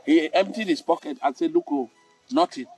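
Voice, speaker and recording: An older man with a Cameroonian accent hosts a storytelling talk in a deliberate, emphatic way, circling back to repeat his main phrases. His voice sits a little high for a man. He is slightly away from the mic in a fairly dry space, with soft music underneath. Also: big pitch swings, gravelly, recorded from a distance, poor audio. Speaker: storytelling host.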